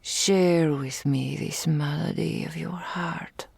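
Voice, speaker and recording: A woman's voice: deep voice, steady tone, darkish tone, dry recording, heavily acted. A woman playing a wealthy, doting, possessive female dragon in an intimate ASMR roleplay, speaking in grand, refined phrases that slip into whispers. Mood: tender, resigned. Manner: grandiloquent, slow, affectionate, with breathy whispered phrases.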